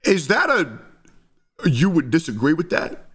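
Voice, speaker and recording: A man with a slightly high voice gives spontaneous reaction commentary. He is confrontational, pushing his argument through pointed rhetorical questions. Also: very animated, measured pace, fairly bright tone, slightly rough. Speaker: reaction video creator.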